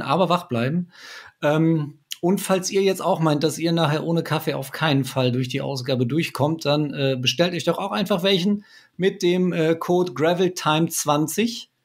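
A man in his thirties hosts a casual podcast, joking easily with his guests. His voice is a little husky, and it sits somewhat high for a man. He talks into a headset on a video call. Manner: jokey, laughs often, reads sponsor spots. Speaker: podcast host.

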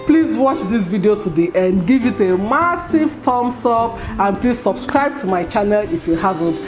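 A woman in her thirties with a Nigerian accent, an Igbo speaker, talking to camera in a get-to-know-me vlog with an animated, scolding, expressive manner. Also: mid-range voice, normal speed, music in the background. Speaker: vlogger. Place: small indoor room at home. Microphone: camera at close range.

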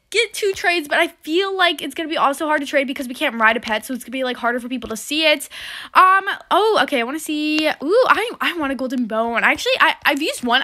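A young woman with a slightly stuffy nose, chatting casually through a game with lots of fillers and excited exclamations. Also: sits high. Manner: chatty, full of fillers and "oh my gosh". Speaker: gaming video creator. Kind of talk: casual gameplay commentary.

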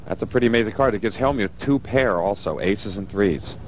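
A man's voice: on the low side, expressive, a little fast, a little hiss or hum, rough recording. A man giving color commentary as an analyst on a televised poker game.

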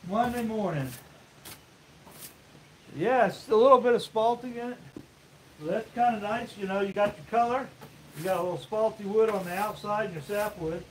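Guy in his 50s, highish voice, unhurried live vlog talk outdoors, bit of background noise. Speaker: host, sawmill woodworker. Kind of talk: casual live vlog while working. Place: open-sided sawmill shed outdoors. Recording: outdoor workshop noise, varying distance from mic.

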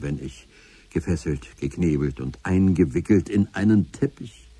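A man in his sixties narrating a radio play in the first person, in a measured storytelling way. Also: sits low, warm tone, breathy edge. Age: 60s.

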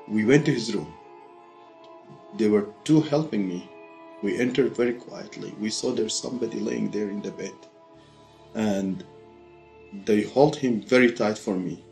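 Low-voiced middle-aged Sudanese man over music. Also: vocal fry, darkish tone. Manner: deliberate, short phrases with pauses. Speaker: testimony speaker. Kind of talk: personal testimony told to camera. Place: quiet furnished room with fireplace and bookshelves. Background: background music.

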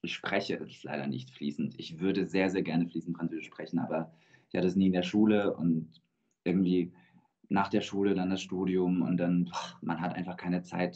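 A man in his thirties, born in Georgia with Russian as his first language, speaking as a guest singer in a thoughtful, measured way over a video call. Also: sits low, a little mellow. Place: indoor room with plain wall. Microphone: video call webcam. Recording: dull lo-fi video call audio.

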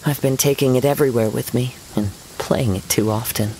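Man acting serene young poet swordsman, slightly gravelly. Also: mid-range voice, lightly acted, rough recording. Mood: calm, contemplative. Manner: measured, poetic, formal phrasing. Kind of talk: scripted game dialogue, storytelling. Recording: steady rain noise behind voice.

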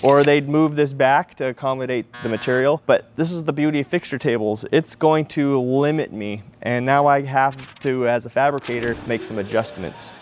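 A man's voice: mid-range voice, moderate pace, hardly any pauses, a little hiss or hum. A man in his forties teaching metal fabrication in a large workshop, explaining each step plainly and often asking questions aloud. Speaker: welding and fabrication instructor. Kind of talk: shop demonstration with voice-over.

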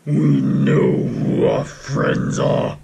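A man voicing a menacing nightmare animatronic bear in a gravelly, rasping growl, slow and threatening. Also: medium pitch, big pitch swings, warm tone, a little hiss or hum, pitched below the performer's own voice, full character voice.

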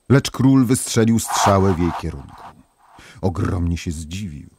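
Male narrator for legends: lowish voice, measured and dramatic, over soft music. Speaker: storyteller. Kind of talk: scripted fairy-tale narration with musical backing.

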